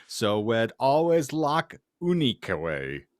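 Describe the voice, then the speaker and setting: A man hosting a podcast, reading bad fan fiction aloud with deadpan, exasperated banter. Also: medium pitch, a little slow, dry recording, studio quality. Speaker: podcast host.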